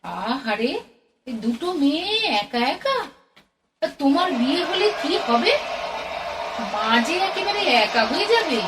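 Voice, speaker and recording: A woman acts out an anxious middle-aged Bengali mother in an audio drama. Her high voice swings widely as she frets, scolds and chatters. The recording is noisy and sounds set back from the microphone. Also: very animated, measured pace, long pauses, distant mic, exaggerated voice. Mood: fussing, curious. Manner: fretful, exclamatory, homely scolding and chattering. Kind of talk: audio play acting.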